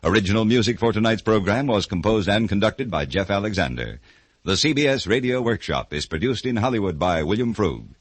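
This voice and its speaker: A man's voice: fairly low pitch, animated, fairly quick, no room sound. A man reading scripted closing announcements as a formal, polished 1950s network radio announcer.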